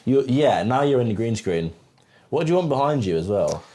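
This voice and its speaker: A man's voice: mid-range voice, very animated, brisk, dark tone. A man hosting a podcast, chatting casually with his guest in a teasing, easygoing way. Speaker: podcast host. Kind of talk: casual chat.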